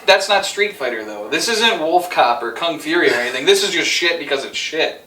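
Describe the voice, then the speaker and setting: A man's voice: a little high, expressive, a little fast, bright, forceful tone. A man co-hosting a podcast in a casual group debate, arguing in a blunt manner. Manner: argumentative, blunt. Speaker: podcast co-host. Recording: echoey room, distant from mic.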